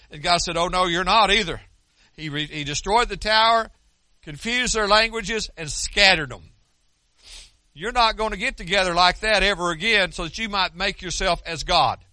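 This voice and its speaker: An older American man preaching with a high, piercing, very bright voice. He speaks earnestly and conversationally, breaking off and restarting sentences. The sound is dry and close, with no room echo. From United States. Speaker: pastor. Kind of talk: sermon.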